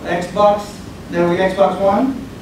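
A man's voice: high-pitched, little pitch movement, drawn-out words. A man making casual announcements to a conference crowd, with many fillers and restarts, recorded from the back of a large room. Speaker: event organizer. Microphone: far camera at back of room. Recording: echoey room from far off, noisy.

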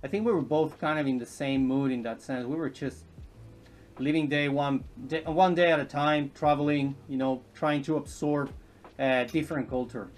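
A man in his thirties from Chile, a podcast guest chatting casually and haltingly, with many ums and restarts, recorded from a distance in a living room. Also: on the high side, fairly even intonation. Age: 30s. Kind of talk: casual interview chat. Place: indoors, home living room.

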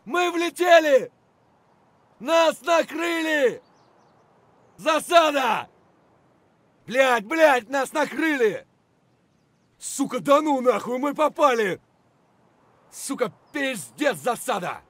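An actor playing an aggressive, crude male soldier, shouting urgent combat barks for a video game. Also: extremely high pitch, animated, slow pace, long pauses, no room sound, heavily acted. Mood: alarmed.

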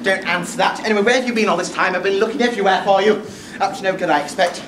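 A man's voice: sits high, racing, lightly acted. An echoey, distant theatre recording with heavy noise. A man plays a bawdy, flustered pantomime dame, a middle-aged mother who hams it up and chats straight to the house. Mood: scolding. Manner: exaggerated, chatty, playing to the crowd. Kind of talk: Christmas pantomime stage acting with audience address. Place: theatre stage before an audience. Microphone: far camera. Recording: echoey theatre stage, distant noisy camera mic.

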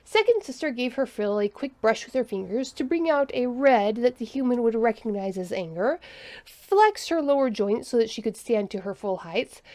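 A woman narrator reads a scripted tale. Her voice is fairly high and very expressive in pitch. The sound is close and dry, with no room echo.

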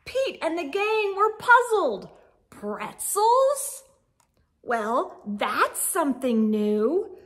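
A middle-aged woman reading a children's storybook aloud with expressive, exaggerated emphasis and sing-song refrains. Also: very high-pitched. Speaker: storytime read-aloud host. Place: indoor room with bookshelves.

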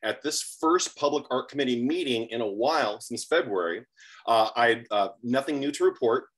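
A man's voice: medium pitch, normal speed, fairly bright tone. Middle-aged man chairing a video-call meeting. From San Diego, USA. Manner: plain procedural speech, frequent uh fillers. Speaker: committee chair.

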